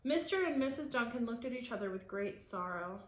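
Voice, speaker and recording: A woman reading a picture book aloud to children, expressive, with explanatory asides for young listeners. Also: on the high side, a little fast, echoey room. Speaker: read-aloud storyteller.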